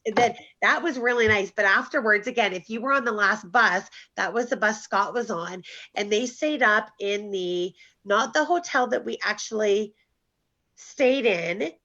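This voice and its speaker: A woman's voice: medium pitch, moderate pace, pushed and bright. A woman hosting a casual group video call, chatty and laughing often, recorded from home. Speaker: host. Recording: slightly dull video call audio.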